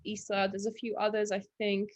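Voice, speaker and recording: A young woman who grew up in England after early childhood in Latvia, a Russian speaker, chatting casually as a podcast guest and pausing often to find her words. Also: medium pitch, no room sound. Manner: relaxed, thinks aloud with many fillers. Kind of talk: casual interview chat.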